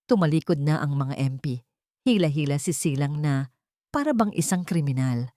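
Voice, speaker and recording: Low-pitched Filipino woman narrating, dry studio sound. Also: expressive, normal speed, warm tone, no room sound. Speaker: storyteller. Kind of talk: dramatic scripted story narration.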